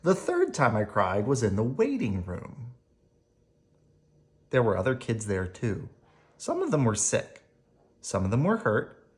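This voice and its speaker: An adult storyteller reads a picture book for children. The pace is slow and the intonation is very varied and expressive. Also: medium pitch.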